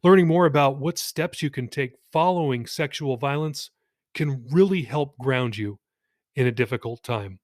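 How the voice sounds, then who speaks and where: A man's voice: medium pitch, normal speed, dry recording, studio quality. A man who hosts and narrates a podcast, speaking to listeners in an earnest, plain-spoken way. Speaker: podcast host, author and narrator. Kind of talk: narration and direct address to listeners.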